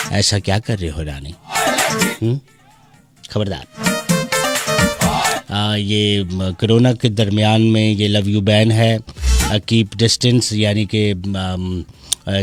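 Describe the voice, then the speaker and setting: A Pakistani man hosting a live radio show, chatting playfully, reading out listeners' messages and switching into English phrases. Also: on the low side. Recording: loud music behind the voice. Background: music.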